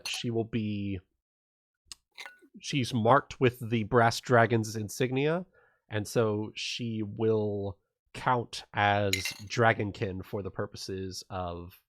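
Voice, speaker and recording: A man with a fairly low voice recorded very dry and close, talking slowly as a game master. He explains things casually and haltingly, in informal language peppered with swearing. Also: no echo. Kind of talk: casual livestream tabletop game recap.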